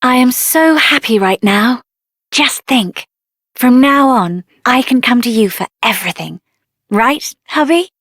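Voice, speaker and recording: An actress voicing a spirited, headstrong young woman adventurer in a video game, expressive and earnest, with a tender side. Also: on the high side, measured pace, no room sound, studio quality. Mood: tender, happy.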